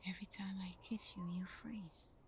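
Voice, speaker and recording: A woman playing a caring, hurt vampire girlfriend in an ASMR roleplay, speaking softly and tenderly, often half whispering, with hesitant pauses. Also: mid-range voice, steady tone, background noise, lightly acted. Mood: hurt, pleading. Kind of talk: ASMR roleplay, intimate scripted acting.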